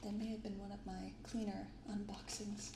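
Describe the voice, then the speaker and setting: A soft-spoken woman hosting an unhurried ASMR-style unboxing, recorded in an untreated apartment room. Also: mid-range voice, level tone. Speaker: host of a card unboxing channel. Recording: roomy untreated apartment, clear background noise.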